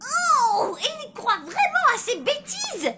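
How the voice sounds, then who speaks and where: A woman's voice: very high-pitched, sing-song, slow, very noisy, full character voice. A woman playing a feisty, headstrong little zombie girl in a French cartoon, very expressively. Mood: indignant. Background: loud background music.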